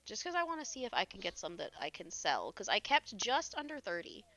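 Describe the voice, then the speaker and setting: Young woman streamer, hoarse and gravelly, lively pitch, chatty with frequent laughs. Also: fairly quick. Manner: chatty, reads chat aloud, laughs often. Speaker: live streamer. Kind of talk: casual chat while gaming.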